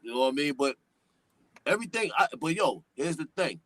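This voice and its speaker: A man, a guest hip-hop artist chatting on a podcast, animated, full of street slang and swearing, and talking at length. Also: brisk, no echo. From New York. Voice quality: deep voice. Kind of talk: casual podcast chat.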